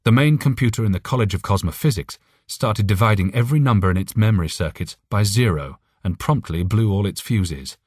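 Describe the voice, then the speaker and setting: A man's voice: lowish voice, animated, brisk, few pauses, darkish tone, breathy edge, no echo, studio quality. A man reading a short story aloud as an audiobook narrator, in scripted narration.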